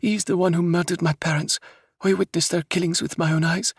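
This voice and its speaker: A man's voice: sits high, steady tone, fast, vocal fry, husky, dry recording, studio quality, pitched above the performer's own voice, full character voice. A man voicing a young princess who speaks with urgent, proud insistence.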